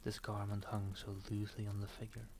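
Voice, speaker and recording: A man hosting an ASMR stream, speaking softly and often slipping into a whisper as he chats and reads. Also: lowish voice, monotone, brisk, noisy background. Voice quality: soft, often breaking into whisper. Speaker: live-stream host and reader. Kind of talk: ASMR, soft casual chat and storybook narration.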